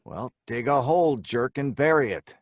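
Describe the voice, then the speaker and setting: A man's voice: medium pitch, animated, moderate pace, no echo, natural voice. An actor playing a brash, mocking crook who narrates his own tale in slangy old-time radio drama style. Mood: impatient, teasing. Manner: slangy, hard-boiled tough talk.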